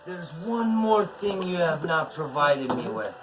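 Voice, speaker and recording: A man voicing a furious, vengeful female frost giantess who shouts her demands. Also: on the high side, animated, measured pace, very noisy. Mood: raging.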